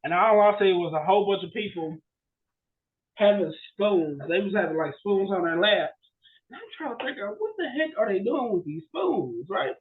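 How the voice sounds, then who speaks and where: A man with a notably high voice chats casually as a podcast co-host. He rants and tells stories in slangy, exclamatory bursts. The recording is a bit dull and lo-fi. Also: lively intonation, normal speed. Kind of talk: casual chat, storytelling and ranting.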